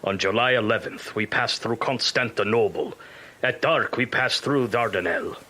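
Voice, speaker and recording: An actor playing a weary ship's captain, narrating his log gravely in clipped, deliberate phrases in an audio drama. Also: mid-range voice, pushed and bright. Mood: grave, measured.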